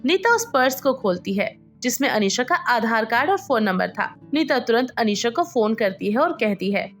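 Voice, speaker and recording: A woman's voice: fairly high pitch, sing-song, normal speed, faint music behind. A woman narrating a scripted story as its storyteller.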